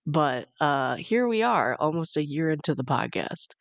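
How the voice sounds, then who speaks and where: A woman's voice: low-pitched, very animated, moderate pace, creaky, dry recording. A young woman hosting a casual solo podcast, chatty and upbeat, sounding congested from a cold. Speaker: podcast host.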